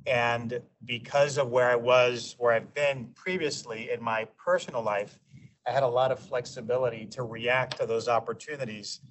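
A man in his forties from New York, a guest in a casual interview, talking in a measured way and often restarting his sentences. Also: medium pitch, fairly bright tone. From New York, USA. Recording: distant mic with faint noise.

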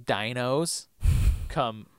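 Man with a clear, dry podcast voice. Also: medium pitch, extremely slow, fairly bright tone, no echo. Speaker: podcast co-host. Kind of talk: casual chat, recapping TV episodes.

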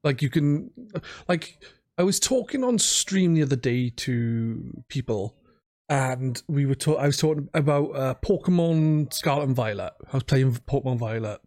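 A man hosting a podcast in casual chat, speaking haltingly and repeating words as he goes. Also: medium pitch, expressive, darkish tone, no room sound, clean studio sound. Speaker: podcast host.

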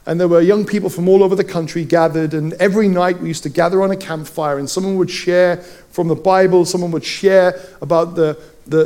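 A man's voice: high voice, a little mellow. A middle-aged Welsh man preaching a sermon, telling personal stories with playful asides. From Wales. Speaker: pastor.

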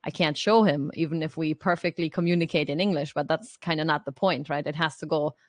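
Female co-host, German first language, low voice, dry close sound, reflective casual talker. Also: steady tone, a little fast, no room sound. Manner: chatty and reflective, laughs lightly. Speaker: podcast co-host. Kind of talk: casual discussion.

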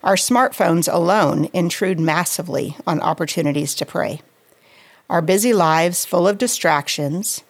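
A woman reading a scripted devotional in a measured, reflective and earnest manner. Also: fairly low pitch. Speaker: devotional writer and reader.

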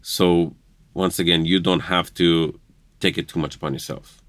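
Deep-voiced Israeli man, podcast guest, earnest and chatty, dry close recording. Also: steady tone, moderate pace, a bit bright, no room sound. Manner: earnest, laughing, broken English phrasing. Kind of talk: casual conversation.

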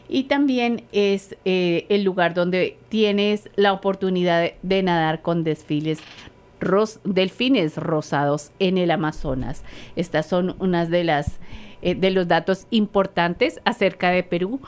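A woman's voice: on the low side, clean tone. A woman co-hosting a cultural program, explaining facts with frequent hesitations. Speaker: co-host. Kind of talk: presenting facts.

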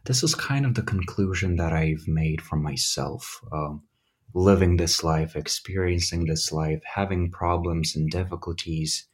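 A man's voice: deep voice, measured pace, husky. A man from Ukraine with a blend of American accents, answering interview questions in a hesitant, thoughtful way. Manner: hesitant, thoughtful, many fillers. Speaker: guest, English teacher.

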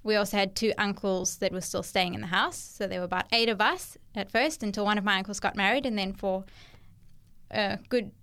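A young woman from South Africa with a South African accent, a guest telling her story in an interview with thoughtful pauses. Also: mid-range voice, a bit bright. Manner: thoughtful, hesitant with fillers. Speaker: guest, ministry worker. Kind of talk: conversational interview.

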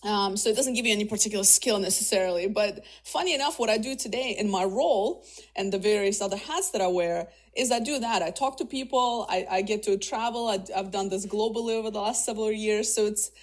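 Woman, 30s, from Russia, native Russian speaker; career guest, casual and rambling, middle pitch. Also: brisk. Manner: chatty, many fillers and restarts. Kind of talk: casual interview.

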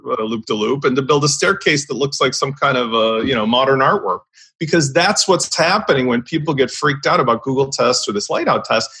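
A man making his point bluntly on a podcast panel, swearing as he goes. His voice sits slightly high and sounds very husky, with no clear tone to it. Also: fairly bright tone. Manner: blunt, emphatic, swears casually. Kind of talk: opinionated casual discussion.

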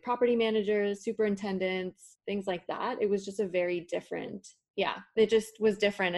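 A woman's voice: medium pitch, a little mellow. Young Toronto woman, native Spanish speaker, casual candid storyteller who acts out quotes. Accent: Toronto English. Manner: candid storytelling with swearing, acting out quoted people. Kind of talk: casual conversation.

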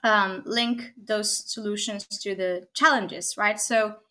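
Bright-voiced Argentine-born woman. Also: mid-range voice, steady tone, slow. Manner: thoughtful, many fillers and restarts, frequent "right?". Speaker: guest, technology consultant. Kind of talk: podcast interview.

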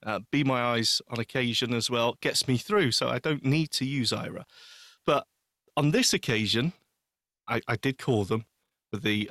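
A man telling an anecdote in casual chat, animated and emphatic, repeating phrases for effect. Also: medium pitch, no echo, studio quality. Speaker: podcast co-host.

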